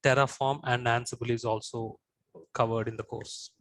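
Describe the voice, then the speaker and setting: A man's voice: mid-range voice, flat delivery, normal speed, fairly bright tone, no echo, rough recording. A man running a live online class, answering students' questions in a reassuring way and repeating short phrases. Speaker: online course trainer. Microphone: video call.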